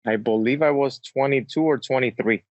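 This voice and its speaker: A man's voice: medium pitch, brisk, dry recording. Man from the Dominican Republic, dull dry sound. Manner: relaxed, many fillers like "uh" and "kinda". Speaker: guest entrepreneur. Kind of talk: casual interview answers.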